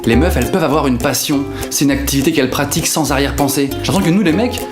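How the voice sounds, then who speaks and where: A self-mocking comedian from northern France, talking loosely and swearing without care. His voice sits at an ordinary pitch. The room is echoey and music plays behind him. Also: racing. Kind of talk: comic sketch narration to camera.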